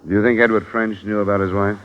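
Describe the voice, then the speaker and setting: An actor plays a hard-boiled investigator who narrates and questions in a terse, matter-of-fact way. His voice is very low, and it comes through a muffled old radio broadcast. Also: level tone, quick pace, natural voice. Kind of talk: 1950s radio drama narration.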